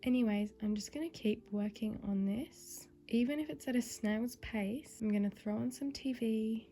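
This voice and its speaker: A young woman vlogging casually about her crochet at home, her voice croaky and a bit nasal from a cold. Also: a little high, normal speed, slight background noise. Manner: soft, chatty, relaxed, sometimes whispering. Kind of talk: casual vlog narration.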